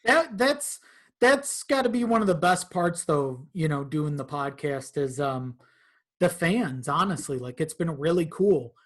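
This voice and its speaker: Higher-pitched man chatting as a podcast guest. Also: expressive, a little slow, a bit bright. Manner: hesitant, repeats phrases. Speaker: podcast guest, audio drama creator. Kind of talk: casual chat.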